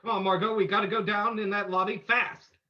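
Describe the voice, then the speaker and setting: Woman acting gentleman sleuth, radio mystery, medium pitch, composed questioning manner, slightly muffled sound. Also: steady tone, fairly quick, lightly acted. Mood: urgent. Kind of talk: old-time radio drama reading.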